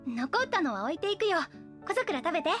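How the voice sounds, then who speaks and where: A woman's voice: very high voice, very animated, brisk, a bit bright, faint music behind, full character voice. An actress playing an easygoing, affectionate young woman in an anime audio drama, talking in a frank, friendly, casual way. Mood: cheerful. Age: young adult.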